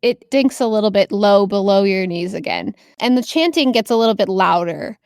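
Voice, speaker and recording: Female game master, medium pitch, narrating tabletop fantasy in a very dry acoustic. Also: expressive, normal speed, a little mellow, smooth, no room sound.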